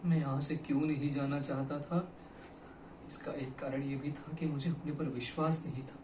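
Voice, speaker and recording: Man acting a high-voiced, broken, regretful poet. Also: fairly even intonation, lightly acted. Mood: pensive, regretful. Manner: dramatic, deliberate, with weighted pauses and repetitions. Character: broken, regretful poet confessing to his lost beloved. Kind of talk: theatrical Hindi stage monologue. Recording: echoey room, distant mic, faint noise.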